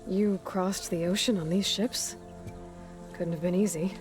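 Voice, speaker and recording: A woman's voice: on the low side, steady tone, measured pace, darkish tone, faint music behind, lightly acted. Woman playing a wry, direct young heroine. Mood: probing, direct. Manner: dry, matter-of-fact. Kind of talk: naturalistic video game acting.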